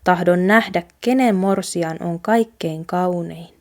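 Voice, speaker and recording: A woman's voice: lowish voice, close to an everyday voice. A woman voicing a commanding older father who gives formal orders to his sons in a storybook.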